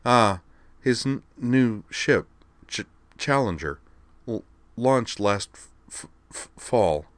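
A man's voice: fairly low pitch, lively intonation, takes it slowly, dry recording, pitched above the performer's own voice. A man voicing a wry young woman roommate in an audiobook, who stutters heavily on her words. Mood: explaining. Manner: heavy stutter, dry and wry. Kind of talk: audiobook character voice.

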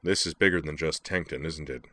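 A man voicing a big, affable young male student who speaks in a low, easygoing rumble. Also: brisk, bright, forceful tone. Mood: affable, concerned.

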